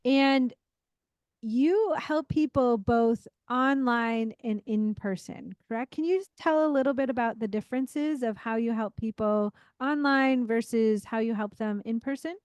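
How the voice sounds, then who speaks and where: A woman's voice: on the high side, unhurried, a little mellow, clear, resonant tone, no echo, dull audio. A woman hosting a podcast, interviewing a guest in an encouraging way. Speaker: podcast host, business coach.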